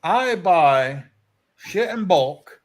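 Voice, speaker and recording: A man's voice: high-pitched, animated, very slow. A man in a casual group chat, explaining things in a plain, matter-of-fact way.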